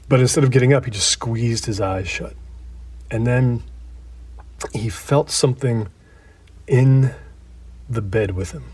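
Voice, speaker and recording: An actor playing an everyday man in an audio drama, recorded as if for a documentary. His voice is husky and mid-pitched. He talks haltingly and absorbedly, breaking his story into short conversational phrases. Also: no echo. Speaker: interview subject in an audio drama. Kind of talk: naturalistic documentary-interview acting.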